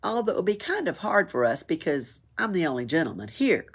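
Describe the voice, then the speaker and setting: An earnest, polite little boy from a storybook, read out by a woman. He sounds matter-of-fact and a touch doubtful, in a low, husky voice. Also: very animated, a little fast, heavily acted.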